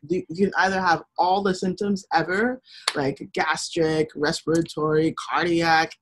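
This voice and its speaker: A woman's voice: low voice, flat delivery, a little slow, noisy background. A woman co-hosting a radio show who works as a nurse, explaining in long run-on sentences. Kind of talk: casual interview chat.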